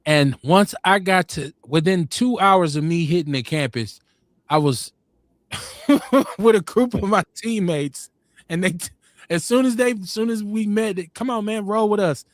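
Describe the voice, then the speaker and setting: A man in his forties telling stories in casual chat, rambling and laid-back, getting animated when quoting others. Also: high-pitched, measured pace, no echo, studio quality. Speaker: podcast host.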